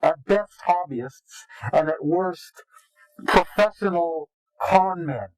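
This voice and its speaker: A man answering interview questions combatively, in a formal, deliberate and contemptuous way. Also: on the high side, dry recording, poor audio. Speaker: interviewed anonymous informant.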